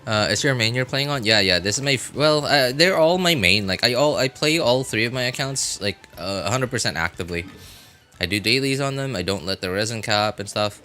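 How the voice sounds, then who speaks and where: A man's voice: medium pitch, expressive, a little fast, pushed and bright, faint music behind. A man streaming a video game, chatting casually in a rambling, self-deprecating way. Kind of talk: casual livestream chat while playing a video game.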